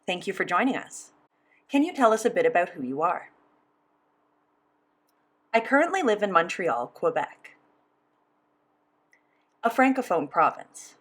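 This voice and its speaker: A woman voicing a sign language interview as interpreter, speaking in a measured, steady first-person delivery. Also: lowish voice, slightly rough.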